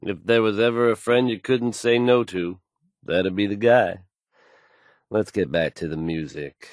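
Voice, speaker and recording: Guy playing a slick confident male radio dj, lowish voice, dry sound, showy announcer patter. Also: unhurried, dry recording, close to an everyday voice. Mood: assured. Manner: assured, fluent, showy.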